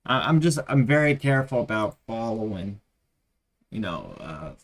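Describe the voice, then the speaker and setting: A man's voice: lowish voice, big pitch swings, normal speed, gravelly. A tired man losing his voice, rambling with many fillers as he chats casually on a live trading stream.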